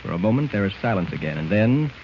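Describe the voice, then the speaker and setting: A man's voice: lowish voice, big pitch swings, quick pace. Suspenseful male announcer on dull old radio. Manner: suspenseful, declamatory. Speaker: narrator, announcer. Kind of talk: dramatic scripted 1940s radio narration. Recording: dull, scratchy 1940s radio recording.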